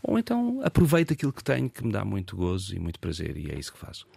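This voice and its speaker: A Portuguese man in his fifties with a European Portuguese accent, an actor talking reflectively in an interview, pausing and restarting as he thinks. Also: sits low, huge pitch swings, vocal fry, darkish tone, slightly rough, no room sound. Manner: reflective, hesitant with many fillers and restarts. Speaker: actor and guest. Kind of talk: casual interview conversation.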